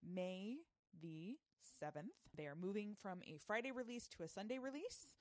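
A woman's voice: medium pitch, animated, moderate pace, dry recording, studio quality. A woman hosting a podcast, making announcements in a casual, conversational way. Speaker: podcast host.

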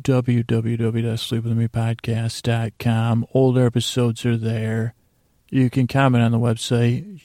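A man hosting a sleep podcast in a rambling bedtime monologue, soothing and lulling, halting and repeating his words, with a gravelly voice. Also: mid-range voice, mellow, dry recording, clean studio sound. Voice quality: gravelly, creaky.

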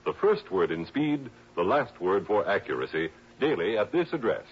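A man's voice: fairly low pitch, sing-song, normal speed, a little hiss or hum. A man reading a scripted 1960s radio network promo as an announcer. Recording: muffled old radio recording.

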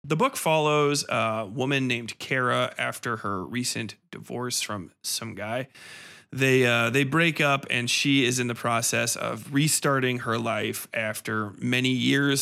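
A man's voice: mid-range voice, fairly even intonation, normal speed, creaky, pushed and bright. Blunt, sweary man reviewing off the cuff. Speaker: podcast host and reviewer. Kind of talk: casual off-the-cuff review monologue.

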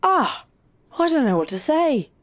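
A woman's voice: high voice, very animated, measured pace. A woman playing an insistent, sardonic and playful girlfriend in an audio drama. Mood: playful, pleased. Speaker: actress in audio fiction. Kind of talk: serialized audio drama acting.

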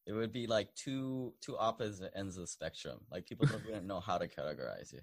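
A man with a low voice chats easily as a podcast guest. His tone is relaxed and he often laughs between thoughts. The sound is dry. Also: fairly quick, gravelly, no echo. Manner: laid-back, chatty, laughs often. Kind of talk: casual podcast chat.

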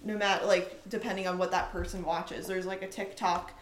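A woman's voice: on the low side, flat delivery, brisk, a little hiss or hum. Enthusiastic young woman in an echoey room. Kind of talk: casual chat.

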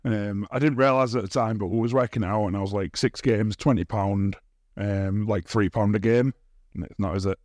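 Teasing man, low voice. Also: fairly even intonation, a little fast, a little mellow, dry recording, clean studio sound. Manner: teasing, banters and talks over his co-host. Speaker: podcast co-host. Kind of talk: casual chat.

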